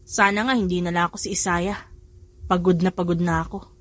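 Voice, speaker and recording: A woman's voice: on the low side, steady tone, brisk, no echo, voice pushed down, lightly acted. A woman voicing a tired, restrained man in a romance audio drama. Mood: exhausted. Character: weary, sober male stranger, a famous model.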